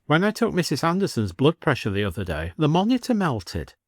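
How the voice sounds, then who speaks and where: A man's voice: a little high, huge pitch swings, a little fast, dry recording, studio quality, voice pushed up. A man voicing a worried, forthright woman who is a village nurse in a story. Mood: insistent.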